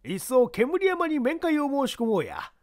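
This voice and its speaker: A reader voicing a brash, impatient newspaperman in an audiobook mystery, with blunt, rough colloquial speech. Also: sits high, wildly swooping pitch, normal speed, a little mellow, voice pushed up. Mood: reckless. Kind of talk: audiobook character acting.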